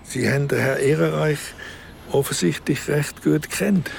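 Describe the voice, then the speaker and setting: An actor playing a brusque, condescending provincial police inspector with a creaky voice in a naturalistic radio drama. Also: male voice, fairly high pitch, measured pace, a little hiss or hum. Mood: officious, courteous. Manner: dry, condescending, officious. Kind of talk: naturalistic German-language radio drama acting.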